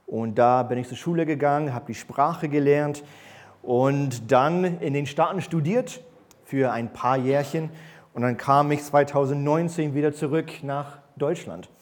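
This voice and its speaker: A man's voice: on the high side, unhurried. A man in his thirties, a church elder who grew up in Berlin, giving a sermon in a hesitant way with many fillers and restarts. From grew up in East Berlin, born in the USA. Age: 30s. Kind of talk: sermon with personal introduction. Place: church service.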